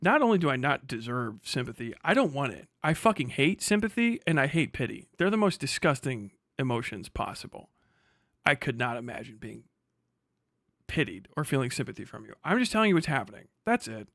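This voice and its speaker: A man with a lively, swooping voice gives casual, sweary reaction commentary on a stream. He is mocking and laughs often between phrases. The sound is close and dry. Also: mid-range voice, fairly quick, vocal fry, no room sound. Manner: profane, mocking; laughs often while talking. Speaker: livestreamer.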